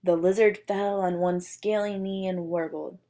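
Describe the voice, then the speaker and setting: A woman reading a children's storybook aloud as an expressive storyteller. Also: medium pitch, normal speed.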